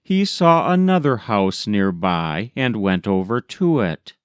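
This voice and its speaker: Slow, clear-voiced man reading a folk tale. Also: on the low side, big pitch swings, dry recording, studio quality. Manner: measured, deliberate storytelling. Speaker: audiobook reader. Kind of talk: scripted narration of a folk tale.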